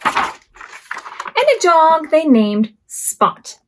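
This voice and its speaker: A woman hosting a preschool storytime as a children's librarian, reading picture books aloud expressively with drawn-out words. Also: high voice. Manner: expressive, drawn-out words and acted sound effects.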